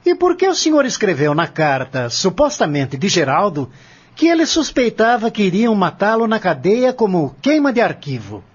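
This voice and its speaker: A stern, formal lawyer cross-examines in an audio drama, played by a man. His voice is high for a man and very lively, with pointed, accusatory phrasing. The sound is somewhat muffled and lo-fi. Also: normal speed, slightly rough, heavily acted. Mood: accusing. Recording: dull lo-fi audio.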